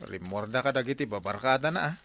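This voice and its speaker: A weary young husband played by a man in a radio comedy drama. He grumbles and complains in a medium-pitched, exasperated voice. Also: lively intonation, fast, fairly bright tone. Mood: weary grumbling.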